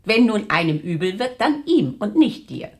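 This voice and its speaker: A strict, composed aristocratic mother in a radio crime drama. She instructs in a measured way, with a mid-pitched voice and very lively intonation. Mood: coolly coaxing.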